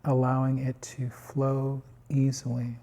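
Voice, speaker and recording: A man guiding a meditation in calm, unhurried short phrases with long pauses, his voice slightly husky. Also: medium pitch. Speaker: meditation guide. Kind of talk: guided body scan meditation.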